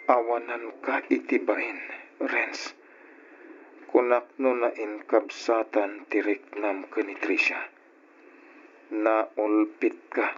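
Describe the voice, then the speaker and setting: A man playing an anguished, betrayed young man in an old-style Ilocano radio drama, with an Ilocano accent and emotional, reproachful, drawn-out delivery. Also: mid-range voice, a bit bright, slight background noise. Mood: hurt, shocked. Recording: dull, muffled radio drama recording.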